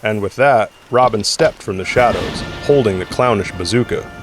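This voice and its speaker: A male narrator with a fairly low voice delivers scripted dramatic narration. Music plays softly behind him, in the manner of an audio drama. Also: moderate pace. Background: music score.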